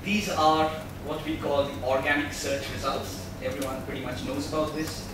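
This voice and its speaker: Ein Mann hält am Rednerpult einen erklärenden Vortrag vor Publikum und stellt zwischendurch Fragen an die Zuhörer. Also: male voice, on the high side, fairly even intonation, normal speed. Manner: explanatory, asks the audience questions. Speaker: presenter at a tech meetup. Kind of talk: presentation talk. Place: large meeting room, speaking at a podium. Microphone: far camera mic. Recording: echoey room recorded from far off, noisy.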